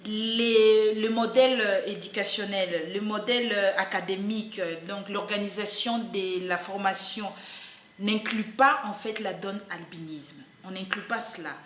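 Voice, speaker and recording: A woman's voice: medium pitch, measured pace, fairly bright tone, slight background noise. Earnest young woman, roomy sound. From Democratic Republic of the Congo. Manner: earnest, hesitant, frequent fillers and restarts. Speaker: university student and activist. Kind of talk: personal testimony in an interview.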